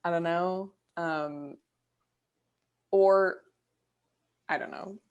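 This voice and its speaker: A woman's voice: lowish voice, fairly even intonation, extremely slow, darkish tone, smooth, muffled recording. A young woman co-hosting a podcast in casual chat, playfully guessing aloud and giggling. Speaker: podcast co-host.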